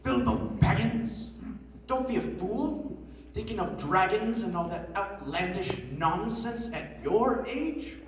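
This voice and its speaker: A man playing a timid, excitable, well-mannered hobbit in a flustered, emphatic readers theatre performance. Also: high voice, a little hiss or hum. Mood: flustered politeness. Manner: exclaiming, flustered, slow and emphatic. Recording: distant mic in echoey hall.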